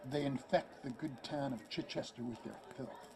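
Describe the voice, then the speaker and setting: A man's voice: medium pitch, moderate pace, close to an everyday voice. A man playing a timid, prim small-town barman with formal, old-fashioned wording in a radio drama. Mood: disdainful. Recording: murmuring crowd behind.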